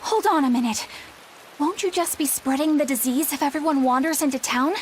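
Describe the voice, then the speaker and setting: A woman's voice: high voice, a little fast, a little hiss or hum, close to an everyday voice. A woman playing an earnest, proper young crewmate in a video game, polite and inquisitive. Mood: concerned questioning.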